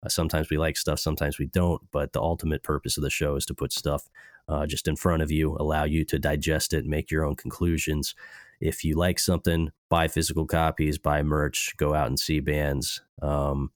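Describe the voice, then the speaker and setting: A man's voice: rumbling low, little pitch movement, moderate pace, no room sound, studio quality. A young man hosting a casual music podcast, a little stuffed up from allergies, rambling loosely with lots of fillers. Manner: rambling, laid-back, many filler words. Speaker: podcast host.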